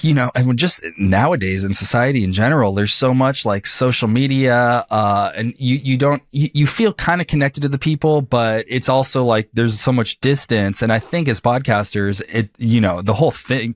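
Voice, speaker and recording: A man hosting a podcast interview, talking in a rambling, halting way with many fillers. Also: medium pitch, no echo, clean studio sound. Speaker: podcast host. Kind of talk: casual interview chat.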